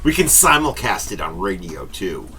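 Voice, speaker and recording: A man's voice: sits low, big pitch swings, moderate pace, sharp tone, a little hiss or hum, heavily acted. A man playing a sarcastic, curt and cocky news station boss in a radio drama. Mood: cocky, goading. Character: brash, dismissive male news station boss.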